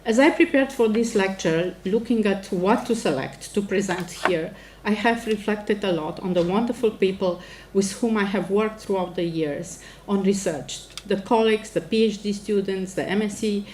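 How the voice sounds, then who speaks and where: A woman's voice: mid-range voice, moderate pace, darkish tone, slight background noise, rough recording. A middle-aged woman from Romania with a Romanian accent, a native Romanian speaker, giving a formal academic address as a professor. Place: indoor lecture event before an audience.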